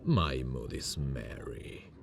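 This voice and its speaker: A man playing a proud, charming demon king in an ASMR fantasy roleplay, speaking in a gravelly, grandiose, theatrical way. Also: extremely low pitch, expressive, crowd noise, full character voice. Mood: intrigued, flirtatious. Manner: grandiose, theatrical, slow and deliberate.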